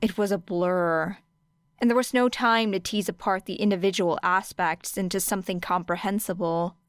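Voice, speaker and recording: A woman narrating scripted fiction as an audiobook reader, with measured, deliberate phrasing. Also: medium pitch, steady tone, dry recording, clean studio sound.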